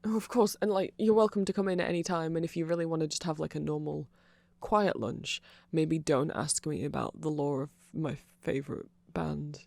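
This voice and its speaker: A performer voicing a nerdy, apologetic vampire shopkeeper in a casual ASMR roleplay, rambling hesitantly and excitably to a friend. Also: mid-range voice, normal speed, vocal fry, hardly any pauses, no room sound, natural voice. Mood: sheepish, friendly.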